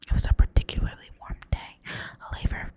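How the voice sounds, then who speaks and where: Woman from the US South, Southern accent, whispered novel reading, soft and hushed, casual asides. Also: normal speed. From Southern United States. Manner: soft whisper, occasional stumbles and self-corrections. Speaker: reader.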